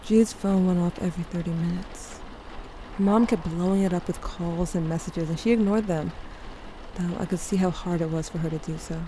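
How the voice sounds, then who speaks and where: Woman doing a grieving teen girl narrating an audio drama, lowish voice, wry and confiding, noisy ambience behind. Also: fairly even intonation, normal speed, mellow, natural voice. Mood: weary, tense. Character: grieving teenage girl narrating her story, sardonic and weary.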